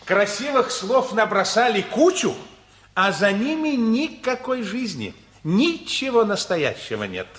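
A man's voice: high voice, big pitch swings, measured pace, fairly bright tone, full character voice. An actor playing a wry, teasing, sceptical young man in a radio play. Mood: scornful.

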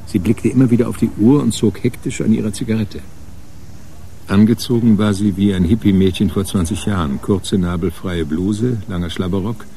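A man's voice: on the low side, mellow, a little hiss or hum, natural voice. A man narrating a radio crime drama from a script, as a sober storyteller.

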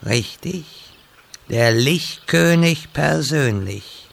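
Gravelly, pompous old wizard. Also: male voice, fairly high pitch, lively intonation, very slow, a little hiss or hum, exaggerated voice. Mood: solemn. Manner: grandiose, solemn, then shouting calls. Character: pompous old wizard mentor, theatrical and crude. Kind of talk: parody fantasy audio drama.

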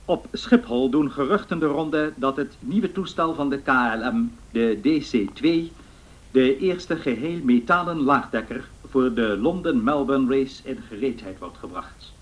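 A man's voice: mid-range voice, lightly acted. Man acting a formal 1930s radio newsreader. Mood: formal, solemn. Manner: measured, formal. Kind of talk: scripted news announcing in radio drama.

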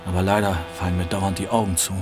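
An actor playing a hungry, freezing, bitterly weary war returnee in a radio drama, speaking in terse, dramatic phrases. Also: male voice, low voice, flat delivery, fast, lightly acted. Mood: longing, bitterly weary. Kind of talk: German stage-style radio drama acting. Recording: music behind the voice.

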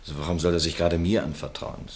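An actor playing a guarded, evasive male car dealer deep in debt, in a radio play. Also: flat delivery, fast, a little hiss or hum, lightly acted.

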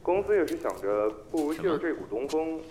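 A man's voice: a little high, very animated, brisk, dark tone. A man playing a pragmatic male talent manager in an audio drama, explaining and coaxing persuasively. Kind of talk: acted dramatic dialogue.

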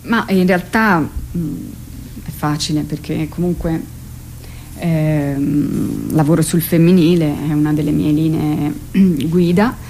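A woman talking casually as a radio interview guest, halting and searching for words with drawn-out hesitations. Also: low voice, steady tone, mellow, a little hiss or hum. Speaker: interview guest, theatre artist and writer. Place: radio studio.

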